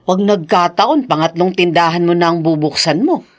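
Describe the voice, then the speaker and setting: A woman's voice: fairly low pitch, lively intonation, moderate pace, lightly acted. A woman playing a kindly, motherly older adviser in a Filipino radio drama, with a Filipino accent. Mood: fond, inquisitive.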